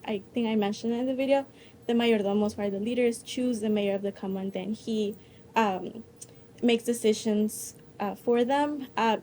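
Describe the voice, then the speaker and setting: A young woman from El Salvador answers questions after her talk, her voice sitting a little high. She speaks haltingly, with frequent ums and restarts, over a faint hum of background noise. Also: mellow. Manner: hesitant, many fillers and restarts. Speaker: student presenter.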